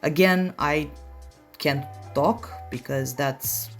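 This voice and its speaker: A woman's voice: deep voice, slow pace, soft background music. A chatty, self-deprecating woman with a non-native English accent, giving a casual nail art tutorial in voice-over. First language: not English.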